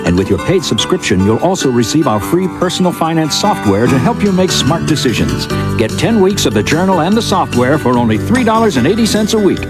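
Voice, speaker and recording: Lively male advert announcer with music behind. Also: on the high side, brisk. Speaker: commercial announcer. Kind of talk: scripted direct-response advertisement.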